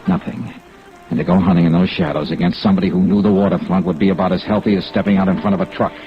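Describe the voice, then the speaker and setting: An actor playing a tough, wry insurance investigator in 1950s radio noir, with an American accent and a clipped, dry delivery. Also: male voice, sits low, steady tone, very fast, faint music behind, natural voice. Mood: tense, brooding. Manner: clipped, dry, hard-boiled. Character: tough, wry male insurance investigator narrating his own case. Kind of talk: 1950s radio noir acting with first-person narration. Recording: muffled, noisy vintage radio recording.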